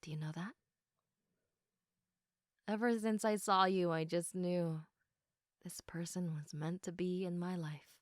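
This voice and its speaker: Slow, soft, sleepy girlfriend, acted by a woman. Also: fairly low pitch, fairly even intonation, no room sound, studio quality, lightly acted. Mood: drowsy, affectionate. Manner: soft, unhurried, often giggly, dropping into whispers. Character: sleepy, affectionate, reassuring girlfriend cuddling her partner. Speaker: audio roleplay performer. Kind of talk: intimate girlfriend roleplay, sleep-aid ASMR.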